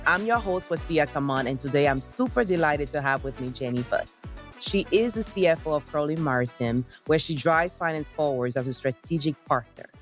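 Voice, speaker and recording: A woman's voice: sits low, lively intonation, normal speed, faint music behind. A woman hosting a podcast interview in an upbeat, enthusiastic way. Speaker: podcast host.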